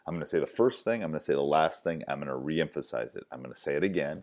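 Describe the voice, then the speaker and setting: Loud, deep-voiced man coaching basketball. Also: quick pace. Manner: emphatic, repeats key points, many fillers. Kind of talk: coaching clinic talk.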